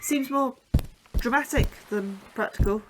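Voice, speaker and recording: A nosy, anxious young scientist, played by a woman in a sci-fi horror audio drama, pestering and a little whiny. Her voice sits fairly high, with a faint hiss of noise underneath. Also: a little slow, natural voice.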